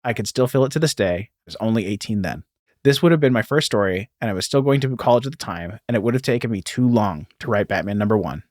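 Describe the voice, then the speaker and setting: A man's voice: on the low side, animated, fast, a little mellow, no room sound, clean studio sound. A man co-hosting a podcast, talking casually and explaining things, sometimes reading aloud and correcting himself as he goes. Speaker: podcast co-host.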